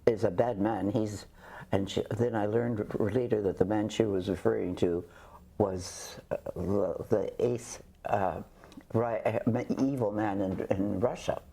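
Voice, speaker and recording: Older woman, interview guest, husky and mellow, halting storyteller. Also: extremely low pitch, steady tone. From Canada. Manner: halting, with frequent restarts and hesitations. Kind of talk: reflective conversational storytelling.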